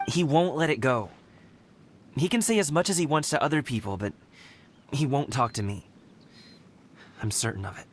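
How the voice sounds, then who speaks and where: A man's voice: medium pitch, expressive, moderate pace, long pauses, lightly acted. A man playing a friendly, earnest but self-doubting young man in a video game, with a casual, hesitant delivery. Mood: resigned.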